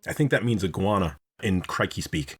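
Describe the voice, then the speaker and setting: A man narrating a scripted video essay in a dramatic, expressive storytelling manner, with casual swearing. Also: sits low, moderate pace, husky. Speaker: video host, reviewer.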